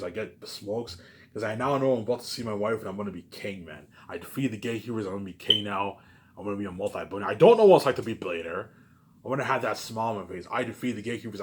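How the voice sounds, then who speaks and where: Fast-talking man, rambling and repetitive. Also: on the low side. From Canada. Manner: repetitive, halting, with habitual trailing tags; emphatic, profane bursts dropping to muttered asides. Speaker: vlogger. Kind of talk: rambling informal monologue.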